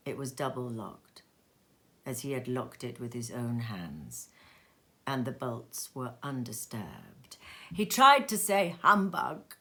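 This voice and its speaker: A woman reading a classic story aloud as expressive narration, with dramatic, deliberate and emphatic phrasing. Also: a bass voice. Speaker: storybook reader.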